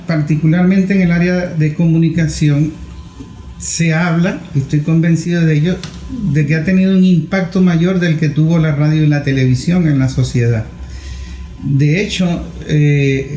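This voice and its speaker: Guy interviewing, soft mellow tone, a bit high for a man, echoey room. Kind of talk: interview questions with long premises. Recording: roomy echo.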